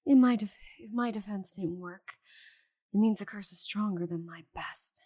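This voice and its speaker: A woman voicing a proud, stubborn, bitter female dragon in a dramatic audio roleplay, with a breathy voice and haughty, sighing delivery. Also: mid-range voice, moderate pace, warm tone, close to an everyday voice. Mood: despairing. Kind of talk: scripted audio roleplay monologue.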